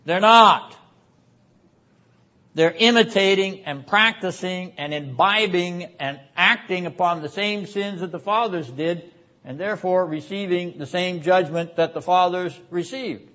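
A man lecturing on the Bible in a classroom, explaining didactically with rhetorical questions and frequent throat clearing. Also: high voice, unhurried, sharp tone. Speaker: seminary lecturer. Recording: slightly dull classroom recording.

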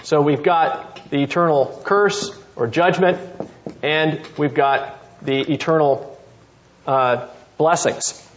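A man's voice: a little high, takes it slowly, muffled recording, a little hiss or hum. A man teaching a seminary class, explaining step by step and often checking in with his listeners. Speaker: seminary professor. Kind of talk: classroom lecture on scripture.

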